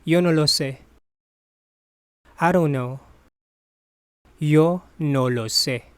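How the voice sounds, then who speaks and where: A voice reading a phrasebook drill at a very slow, careful pace. It says each phrase, translates it, then repeats it broken into parts. The recording is dry and close, with no room sound. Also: mid-range voice, dry recording. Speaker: language teacher.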